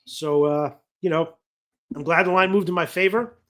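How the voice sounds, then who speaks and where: Bright-voiced man hosting a betting show. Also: on the high side, moderate pace. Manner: rambling, self-correcting, reads chat comments aloud. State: losing his voice. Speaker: sports betting show host. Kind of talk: casual betting talk on a livestream.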